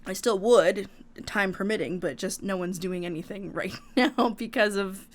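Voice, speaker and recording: A woman's voice: medium pitch, moderate pace. A woman co-hosting a podcast, talking in an easygoing, thoughtful way with light fillers. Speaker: podcast co-host. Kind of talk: casual podcast chat.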